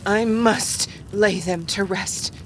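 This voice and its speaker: A woman's voice: mid-range voice, fairly even intonation, slow pace, noisy background, lightly acted. A woman playing a devoted, resolute consort who commands the dead, earnest and solemn, in a fantasy audio drama. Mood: worried, resolute.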